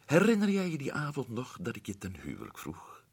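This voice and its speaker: A man's voice: mid-range voice, wildly swooping pitch, fairly quick, slightly rough, exaggerated voice. An actor playing a sardonic middle-aged man, back from years of adventure abroad, in an old radio play. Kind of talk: radio drama acting.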